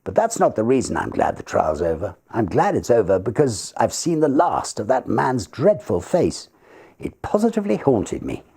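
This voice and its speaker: A man voicing an earnest, sensitive secretary in his twenties for a storybook, husky, eager and polite. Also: mid-range voice, wildly swooping pitch, mellow, voice pushed up, exaggerated voice. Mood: haunted, earnest. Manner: eager, quick-spoken, polite.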